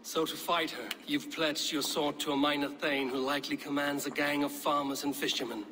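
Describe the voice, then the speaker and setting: An actor playing a bold, blunt Viking warrior in a dramatic game cutscene, speaking in a direct, terse way. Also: male voice, fairly high pitch, steady tone, normal speed, pushed and bright. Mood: teasing, critical.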